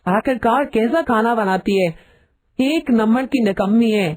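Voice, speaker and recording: A woman's voice: on the high side, unhurried, darkish tone. A woman voicing a blunt, grumbling older mother-in-law in a cartoon family story. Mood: scolding. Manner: blunt, nagging. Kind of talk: cartoon story character acting.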